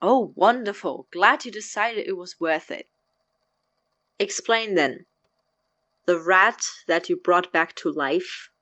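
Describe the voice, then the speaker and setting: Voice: mid-range voice, takes it slowly, long pauses, bright, forceful tone, no room sound, highs cut off. A performer playing a stern, incredulous young man, blunt, sarcastic and demanding, in a naturalistic audio drama. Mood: sarcastic, impatient. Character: stern, incredulous young man confronting his experimenting housemate.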